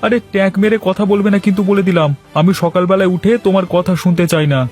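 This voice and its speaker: A man acting a high-voiced, grumbling lazy husband. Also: steady tone, fast, darkish tone, faint music behind, lightly acted. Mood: indignant. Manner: whining, protesting. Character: lazy, poor, grumbling village husband, a boastful idler. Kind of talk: Bengali cartoon dialogue dubbing.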